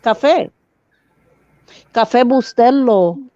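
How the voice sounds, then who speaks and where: A woman's voice: on the high side, slow, warm tone, dry recording. A woman chatting casually in a group voice call, laughing and bantering playfully. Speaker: chat participant. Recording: dull dry lo-fi mic.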